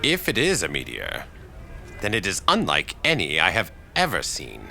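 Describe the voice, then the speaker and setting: An actor playing a grave, awed scientist with a deliberate, formal, dramatic manner in an old-style radio play. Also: male voice, deep voice, wildly swooping pitch, gravelly, bright, forceful tone, a little hiss or hum, heavily acted.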